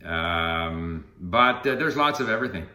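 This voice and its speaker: An older man with a lowish voice talks in a relaxed, rambling way, circling back and restarting his thoughts. His voice is bright and a little tense. It rings in an echoey room. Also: measured pace. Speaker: language learner being interviewed. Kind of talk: casual conversation.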